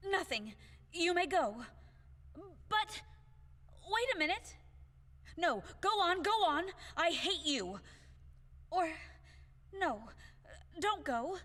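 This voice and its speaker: Woman playing high-pitched, proud, fiery lady. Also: sing-song, slow pace, long pauses, fairly bright tone, heavily acted. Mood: flustered, furious. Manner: imperious, melodramatic, contradicting herself. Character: proud, fiery, indignant lady wavering toward love. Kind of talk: theatrical audio play acting. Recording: long hall reverb.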